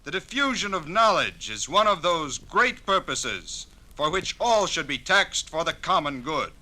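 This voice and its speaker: Piercing, high-voiced frontier orator. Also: male voice, big pitch swings, a little slow, pushed and bright, full character voice, low-quality recording. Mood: solemn, rousing. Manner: oratorical, solemn declaiming. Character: earnest frontier legislator orating on public education. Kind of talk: 1950s radio dramatization.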